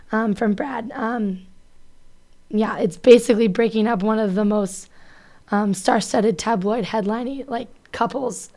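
Young woman, college radio DJ, casual talk show, chatty, filler-heavy, medium pitch. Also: a little mellow. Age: young adult. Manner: chatty, lots of "um" and "like".

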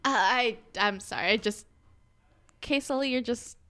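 A young woman hosts a casual radio show, chatty and joking, with a lot of filler words. Her voice is a bit high, smooth and clear. It is close to the microphone in a dry studio. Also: unhurried, sharp tone, no echo.

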